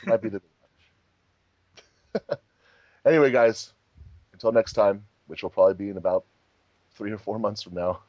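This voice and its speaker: A man's voice: on the low side, moderate pace, pauses often, no echo, lo-fi sound. A man hosting a casual group chat in a jokey, rambling, giggly way. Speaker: host of a fandub group commentary.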